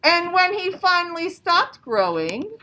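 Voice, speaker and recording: Female primary teacher, high register, instructional repetitive phrasing, reverberant classroom sound with faint noise. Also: big pitch swings, measured pace, pushed and bright. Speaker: elementary school teacher. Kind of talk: classroom lesson with read-aloud and questions to children. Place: indoor classroom. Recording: echoey classroom, distant mic, background noise.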